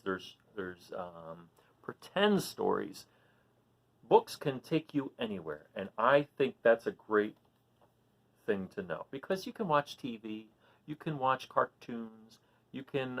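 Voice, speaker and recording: A man hosts storytime for children with a very animated, mid-pitched voice. He is expressive and casual, explaining things in simple words for young listeners. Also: slow pace, gravelly. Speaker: children's read-aloud host.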